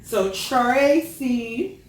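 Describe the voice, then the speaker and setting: A woman's voice: fairly high pitch, lively intonation, extremely slow, distant mic. A woman hosting a casual chat show, teasing her guest and asking easygoing questions. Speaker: host. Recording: echoey room, off-mic, light noise.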